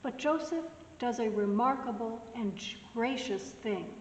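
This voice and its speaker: A woman pastor giving a soft-spoken, gently conversational sermon message. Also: fairly high pitch, measured pace, slight background noise. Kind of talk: recorded weekly sermon message. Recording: roomy echoing hall, mic at a distance.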